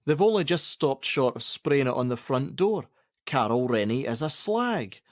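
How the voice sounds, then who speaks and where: A man's voice: medium pitch, expressive, normal speed, no echo, studio quality, close to an everyday voice. An actor playing a defensive, argumentative middle-aged father in a naturalistic radio play, sarcastic and given to swearing. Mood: cruel sarcasm. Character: angry, defensive, domineering father of a pregnant teenage girl.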